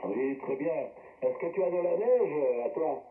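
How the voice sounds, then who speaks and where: A man's voice: fairly high pitch, expressive, a little slow. A man hosting an amateur radio net, chatting casually and passing the turn between operators over a shortwave link. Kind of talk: casual radio contact chat. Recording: roomy narrowband shortwave radio transmission.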